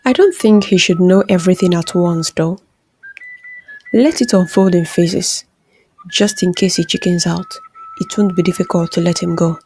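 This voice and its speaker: Woman reading a shrewd, mellow lady boss. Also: fairly low pitch, normal speed, a little hiss or hum, lightly acted. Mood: cautious. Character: shrewd woman employer, wife and partner in crime. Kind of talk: narrated story dialogue.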